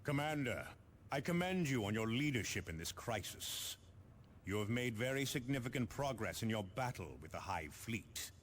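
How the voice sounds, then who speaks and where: An actor playing a formal, resolute space marine captain giving mission briefings in a video game. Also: male voice, medium pitch, big pitch swings, a little hiss or hum, close to an everyday voice. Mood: formal, firm. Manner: formal, commanding, deliberate.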